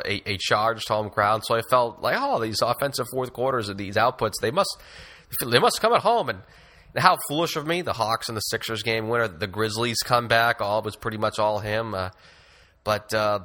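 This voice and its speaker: A man's voice: mid-range voice, bright, forceful tone. A man co-hosting a basketball podcast, talking through stats in an enthusiastic, casual way with frequent restarts. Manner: enthusiastic, stat-heavy, restarts phrases often. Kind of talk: casual sports analysis chat.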